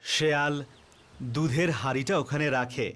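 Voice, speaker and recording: A man's voice: on the high side, fairly even intonation, normal speed, a bit bright. A man narrating a children's cartoon story in a scripted storytelling style. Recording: faint music bed under voice.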